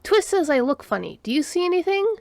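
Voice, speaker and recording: Woman reading as an easygoing guy, lively pitch. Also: a little fast, vocal fry, dry recording, exaggerated voice. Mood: cheerfully dismissive. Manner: casual, slangy, offhand. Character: easygoing human man, breezy friendly coworker.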